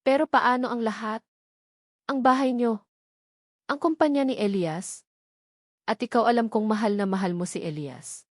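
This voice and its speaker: A woman's voice: a little high, moderate pace, long pauses, no echo, clean studio sound, natural voice. Woman acting a shocked, concerned best friend. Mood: worried. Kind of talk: dramatic audio storybook dialogue.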